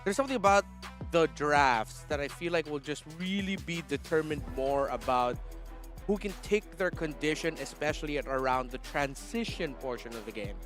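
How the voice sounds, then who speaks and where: A man from the Philippines commentating live on an esports match, excitable and stretching words out for drama. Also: a little high. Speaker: esports shoutcaster.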